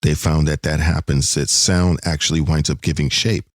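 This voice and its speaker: A man's voice: a bass voice, fairly even intonation, moderate pace, dry recording, clean studio sound. A middle-aged man co-hosting a podcast in casual, conversational talk about a TV show. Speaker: podcast co-host. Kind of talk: casual TV episode discussion.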